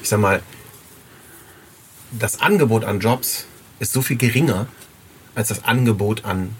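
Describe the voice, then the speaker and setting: Low-voiced man chatting over background noise. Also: measured pace. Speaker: podcast interviewer, runs a voice casting agency. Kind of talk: unscripted casual interview chat.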